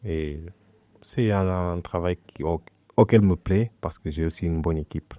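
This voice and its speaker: A young man from Portugal whose first language is Portuguese, talking as a guest in a relaxed, hesitant way and often restarting his phrases. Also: sits low, a little slow, no room sound. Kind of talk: casual conversation.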